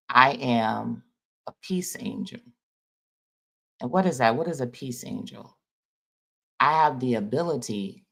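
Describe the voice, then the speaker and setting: Man, gravelly voice, mid pitch, rambling folksy spiritual monologue. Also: fairly bright tone. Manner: loose, rambling, conversational; folksy and informal. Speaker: spiritual vlogger.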